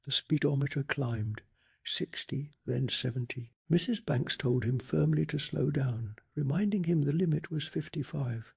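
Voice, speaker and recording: Soft spoken asmr guy reading a story, calm n gentle, really breathy w/ lots of vocal fry. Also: mid-range voice. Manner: calm, measured, gentle delivery. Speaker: narrator of a bedtime story channel.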